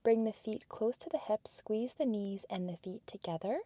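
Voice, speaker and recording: A woman instructor giving soft, breathy, measured cues for a guided workout in a calm meditation cadence. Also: medium pitch, no echo. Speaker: fitness and yoga instructor.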